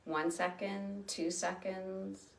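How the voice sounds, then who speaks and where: A woman leading a guided breathing meditation, speaking slowly and gently with long pauses. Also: medium pitch, level tone, creaky, far from the mic. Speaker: meditation teacher. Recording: roomy sound from off the mic.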